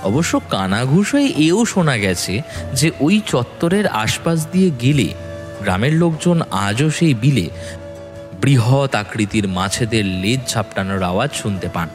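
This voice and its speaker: A man's voice: medium pitch, sing-song, a little slow. A man narrating a ghost story as a scripted audio drama, in a dramatic storytelling style. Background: background music.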